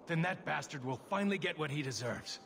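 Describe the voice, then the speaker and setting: Bitter elf dad mourning and wanting revenge, game voice, highish and really animated. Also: male voice, fairly quick, gravelly. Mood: bitter grief. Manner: impassioned, bitter. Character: bitter, grieving elf father hungry for revenge. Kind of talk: video game dialogue. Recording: noisy ambient background.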